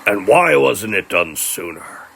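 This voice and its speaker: A man voicing an evil fantasy king in a storybook tale, imperious, threatening and disdainful, with a gravelly voice. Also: lowish voice, very animated, a little slow, fairly bright tone, a little hiss or hum, full character voice. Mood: fuming.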